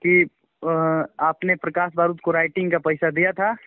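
A man speaks over a thin, dry telephone line in a fairly high voice. He is being interviewed informally, and he answers defensively, halting and repeating his phrases as he goes. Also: little pitch movement, dry recording. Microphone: phone call. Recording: tinny, dry phone-call line.

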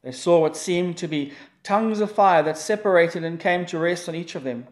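A man's voice: on the high side, darkish tone. Solemn man leading prayer and scripture. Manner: solemn, measured liturgical reading. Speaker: pastor. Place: church.

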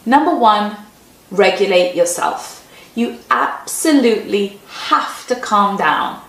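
A woman's voice: mid-range voice, sing-song, slow pace, gravelly, a bit bright. A woman giving parenting advice in a conversational way, often checking in with "right?" as she explains. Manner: conversational, often adds "right?" tag questions. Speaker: parenting coach. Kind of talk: advice talk answering a parent's question. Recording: roomy echo.

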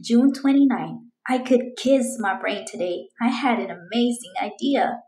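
A woman's voice: on the high side, measured pace, mellow, roomy sound. A woman voicing an eager, dramatic young girl who chats and exclaims through her journal in a children's storybook. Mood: cheerful, proud. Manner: exclamatory, chatty, dramatic announcements. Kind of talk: children's storybook read-aloud.

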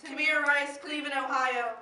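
A young woman performing spoken word poetry with solemn, impassioned, measured phrasing. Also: high voice, fairly even intonation, bright, forceful tone. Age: teen or young adult. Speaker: slam poet. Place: live poetry event in a room. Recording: echoey room, distant mic, faint noise.

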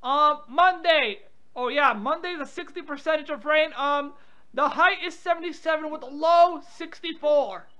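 High bright-voiced irritable woman. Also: normal speed. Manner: irritable, confrontational. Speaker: prank call participant. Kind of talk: phone banter, reading out weather updates. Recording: dull lo-fi audio.

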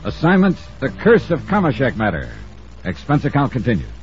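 An American man playing a brisk, wry freelance insurance investigator in a 1950s radio serial, clipped and hard-boiled. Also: mid-range voice, wildly swooping pitch. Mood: businesslike. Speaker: star of a radio detective serial. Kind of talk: 1950s radio acting, first-person narration. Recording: muffled 1950s radio recording, faint music.

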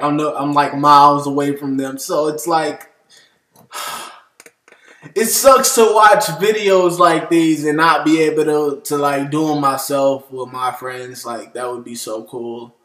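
A man's voice: a little high, slow, pushed and bright. A man in his twenties with an American accent, chatting casually with profanity as he hosts a reaction video. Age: 20s. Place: small indoor room at home. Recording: roomy, echoey indoor sound.

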